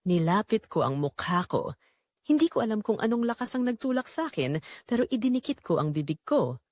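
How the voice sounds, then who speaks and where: A woman acts out a married mother confessing in an audio drama. Her pitch moves widely over short, deliberate phrases. The sound is dry and close, with very little room around it. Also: sing-song, a little mellow, no room sound. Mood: intense, confessional. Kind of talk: scripted first-person audio drama narration.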